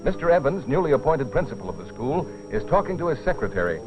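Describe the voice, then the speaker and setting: A man narrating a dramatized film in a grave, deliberate scripted style with dramatic pauses. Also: medium pitch, very animated. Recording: dull old film soundtrack, music behind. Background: dramatic music.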